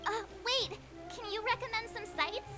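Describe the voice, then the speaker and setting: A woman voicing a bubbly, curious young adventurer in a video game, chatty and excitable. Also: extremely high pitch, normal speed. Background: game music.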